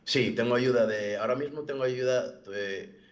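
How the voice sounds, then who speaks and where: A young man giving a personal testimony in an online interview, speaking haltingly and repeating words as he rephrases. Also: medium pitch. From born in the United States, living in Galicia, Spain. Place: video call. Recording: dull, lo-fi online call audio.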